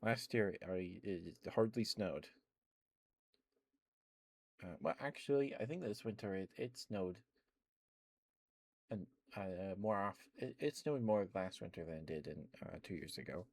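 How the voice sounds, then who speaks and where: Slow, low-voiced man rambling softly. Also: lively intonation, vocal fry, no room sound. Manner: soft rambling talk with many fillers and laughs. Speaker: ASMR streamer. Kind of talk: rambling ASMR chat.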